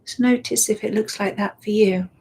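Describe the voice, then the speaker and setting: A woman guiding a meditation, speaking softly and soothingly and often dropping into a whisper. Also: mid-range voice, fairly even intonation, breathy edge. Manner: slow, soft and soothing, drifting into whispers. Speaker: meditation guide. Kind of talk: guided meditation.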